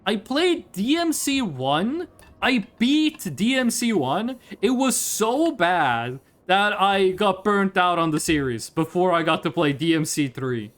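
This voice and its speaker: High-voiced, animated man from Norway, livestreaming. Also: takes it slowly. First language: Norwegian. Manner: rambling, curious, swears casually. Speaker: game streamer. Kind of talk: casual livestream chat over gameplay.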